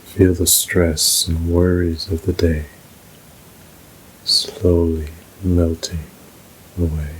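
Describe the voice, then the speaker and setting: A man narrating a scripted guided meditation in a soothing, measured, unhurried way. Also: low voice, little pitch movement, a little hiss or hum, rough recording.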